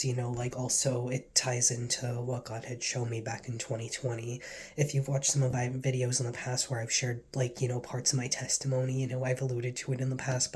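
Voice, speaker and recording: Earnest male vlogger with a head cold, stuffy and mellow, telling his story. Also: medium pitch. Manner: earnest, rambling, heavy with fillers. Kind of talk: casual spoken testimony to camera.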